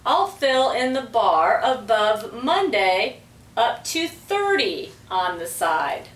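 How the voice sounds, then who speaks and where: A woman's voice: on the high side, very animated, slow pace, fairly bright tone, exaggerated voice. A woman voicing an eager, organized girl in a storybook, announcing things proudly. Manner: announcing, exclaiming. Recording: roomy, distant microphone.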